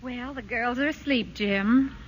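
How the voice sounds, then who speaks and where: An actress playing a fussy, witty suburban wife and mother in a 1940s radio play, precise with her instructions and quick to laugh. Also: a little high, muffled recording, over loud music, natural voice. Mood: teasing. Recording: hissy old radio broadcast.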